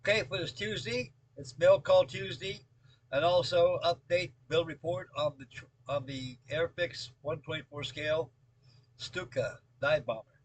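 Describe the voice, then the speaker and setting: An American man in his late seventies with a husky voice, chatting casually as a hobby video host in a halting, rambling way, often repeating himself. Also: a little high, low-quality recording. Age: late 70s. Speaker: scale model builder and video host. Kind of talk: casual update chat with rambling storytelling.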